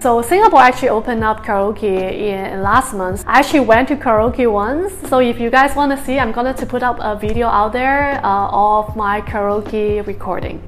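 A young woman travel vlogger reading out viewer questions and answering them in a chatty, plain-spoken way. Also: a little high. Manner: chatty, plain-spoken, promotes her own videos.

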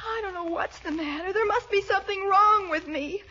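A woman's voice: very high-pitched, a little fast, sharp tone. An actress playing a melodramatic teenage schoolgirl niece, sulky and self-pitying, in 1940s radio comedy style. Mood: tearful, self-pitying. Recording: noisy, muffled vintage radio recording.

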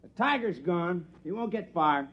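A man acting a shrewd, cautious adventurer in an old-time radio drama, brisk and slangy. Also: high-pitched, expressive, husky. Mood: dismayed. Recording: dull, muffled vintage radio recording.

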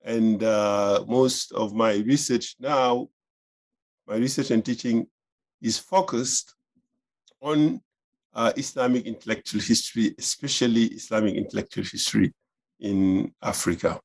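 A man in his fifties, a professor being interviewed, answering thoughtfully with many pauses and uhs. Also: fairly low pitch, steady tone. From Senegal. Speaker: professor, guest.

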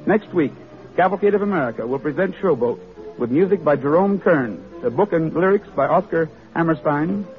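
A man presents in florid old-time radio style, formal and scripted. His fairly high voice comes through a thin, tinny broadcast with faint music. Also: lively intonation, normal speed. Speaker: program historian, presenter. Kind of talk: 1940s scripted radio narration.

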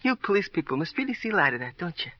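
An actor playing a bitter, brittle seventeen-year-old city boy in an old radio crime drama, halting and needling. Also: high-pitched, sing-song, dry recording. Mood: sly, insinuating. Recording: dull, dry old radio recording.

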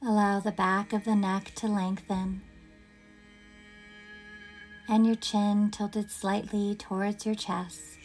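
A woman guides a relaxation practice at a very slow pace, leaving long pauses between phrases. Her voice is medium in pitch and her manner is gentle and soothing. Faint music plays behind her. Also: little pitch movement. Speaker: yoga nidra guide. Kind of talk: guided meditation narration.

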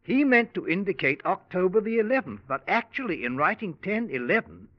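A courteous, fussy bank clerk in a 1940s radio play. His voice is on the high side and swings widely in pitch as he earnestly explains. The old recording sounds dull. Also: big pitch swings, a little fast. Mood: polite, concerned. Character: polite, fussy English male bank cashier. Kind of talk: 1940s radio drama acting.

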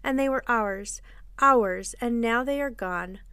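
A woman reader plays a gentle storybook mother, tender and unruffled. Her voice is fairly high, smooth and clear. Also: takes it slowly, no room sound, clean studio sound, heavily acted. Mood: wistful. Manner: soothing, tender. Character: gentle, placid, loving mother and wife.

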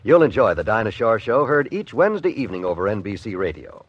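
A man's voice: fairly low pitch, sing-song, fast talker, breathy edge, no room sound. A man with an American accent reading a scripted promo as a 1950s radio network announcer. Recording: dull, dry old radio broadcast.

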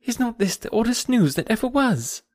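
Man voicing a gushing, high-pitched naive young woman. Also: big pitch swings, normal speed, no echo. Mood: eager, excited. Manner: gushing and emphatic. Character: eager, naive, excitable young woman, breathlessly indignant. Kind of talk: classic novel audiobook character dialogue.

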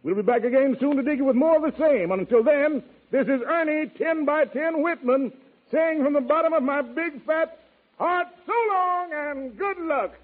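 High-pitched American jive-talking radio host, tinny. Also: male voice, normal speed. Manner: showy, rhyming hep-cat slang. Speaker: radio show host and announcer. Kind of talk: 1940s radio jive-talk emceeing. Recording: tinny, narrow vintage 1940s radio broadcast.

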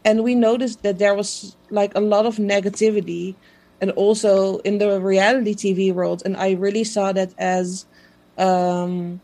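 A woman's voice: mid-range voice, level tone, unhurried, dark tone. A woman from the Netherlands with a Dutch accent, a Dutch native speaker, chatting casually as an interview guest with many fillers and easy laughs. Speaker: guest, social media page creator.

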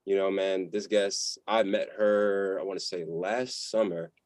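A man's voice: deep voice, fairly even intonation, measured pace, darkish tone, no echo. A man hosting a podcast interview, laid-back and slangy. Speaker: podcast host. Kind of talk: casual interview chat.

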